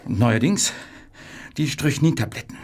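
An actor in a German radio play as a worried, conscientious Victorian pharmacist, pleading and morally stern. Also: male voice, medium pitch, lively intonation, unhurried, a little mellow, slightly rough.

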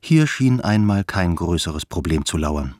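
A man's voice: deep voice, animated, a little slow, background noise. A man reading scripted literary narration in a darkly comic radio play. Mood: wry narration.

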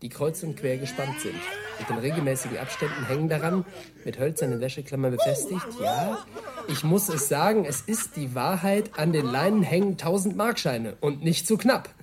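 An actor in a radio play voicing an eager male interviewer who describes the scene on the spot in a lively, observational way. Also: a little high, normal speed, lightly acted. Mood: amused, curious. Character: eager on-the-spot interviewer narrating what is seen. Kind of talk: radio play, taped reportage commentary. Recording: loud cheering crowd noise. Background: cheering office crowd.

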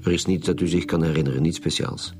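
An actor playing a suspicious Flemish homicide detective in a radio drama, asking terse, probing questions. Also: male voice, low voice, fairly even intonation, quick pace, music in the background, lightly acted. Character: lead homicide detective, middle-aged man, probing and suspicious. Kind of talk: naturalistic radio drama acting.

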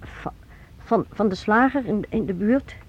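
A woman's voice: medium pitch, animated, measured pace, a little hiss or hum. An actress playing an ageing, nervous mother, evasive and stammering, cowed by her son in a Dutch radio play. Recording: dull lo-fi old radio recording.

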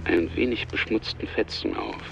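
A man's voice: moderate pace, whispered, over loud music. A man narrating a German radio drama in a sober, factual manner, with a slightly dull sound. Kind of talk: scripted radio drama narration, present tense.

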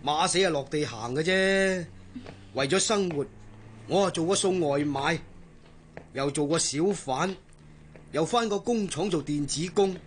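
An actor playing an earnest, devoted young sailor in a radio drama, speaking colloquially and solicitously with a Hong Kong Cantonese accent. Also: male voice, on the high side, measured pace, long pauses, slight background noise, close to an everyday voice. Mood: candid, reflective.

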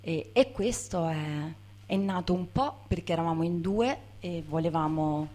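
Lowish-voiced woman, reflective and searching. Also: moderate pace, warm tone. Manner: hesitant, reflective, repeating words as she searches. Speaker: bookseller, co-founder of a women's bookshop. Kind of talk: informal talk to an audience.

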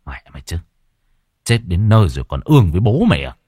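A man's voice: low-pitched, sing-song, quick pace, a little mellow, husky, no echo, studio quality, heavily acted. A man voicing a cocky, foul-mouthed young village exorcist in a horror story, sneering and coolly mocking. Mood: gritted anger.

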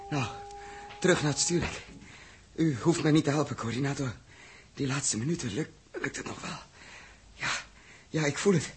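An actor playing a strained, exhausted but dogged spaceship captain in a 1960s radio drama, halting and repeating himself. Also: male voice, fairly high pitch, natural voice. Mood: exhausted, relieved. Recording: slightly noisy 1960s radio recording.

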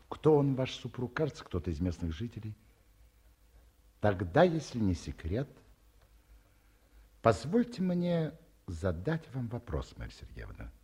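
An actor playing a courtly, self-satisfied middle-aged academic in an old Soviet radio play, speaking in formal, ornate, hesitant phrases. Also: male voice, medium pitch, darkish tone, breathy edge, full character voice. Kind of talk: mid-century Soviet radio play acting. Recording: muffled-voiced 1950s radio studio recording.

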